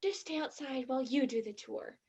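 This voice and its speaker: A woman's voice: high voice, brisk, mellow, slightly rough. An actress in a stage play playing a disgruntled, sarcastic young tourist who complains in exasperation about her trip. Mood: sulky.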